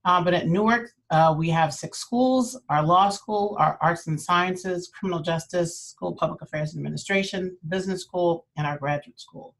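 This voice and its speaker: A woman's voice: on the low side, poor audio. Woman presenting with a cold. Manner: earnest, measured presenting with frequent fillers. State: has a cold. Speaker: university administrator and professor. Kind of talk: webinar presentation.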